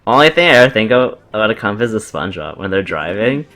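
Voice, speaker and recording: A man's voice: lowish voice, sing-song, fast, music in the background. A man chatting casually as a co-commentator on a video game, reacting to his co-host. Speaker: let's play co-commentator.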